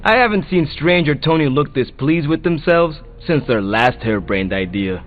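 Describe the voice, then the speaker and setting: Male actor as amused game hero, dry, fairly high voice, muffled narrow audio. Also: animated, moderate pace, natural voice. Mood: dry amusement. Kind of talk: video game voice acting. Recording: thin phone-like audio with faint music.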